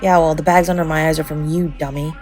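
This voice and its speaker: A woman playing a sharp-tongued but tender young woman who protects a lover, in an intimate acted audio roleplay, bluntly affectionate and halting when emotional. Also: low-pitched, level tone, soft background music, lightly acted. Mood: weary, gruff.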